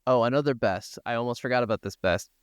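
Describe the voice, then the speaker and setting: A man's voice: mid-range voice, fast talker, clear, resonant tone, no room sound, studio quality. A man co-hosting a podcast, chatting informally and agreeably about video games. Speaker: podcast co-host. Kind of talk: casual video game discussion.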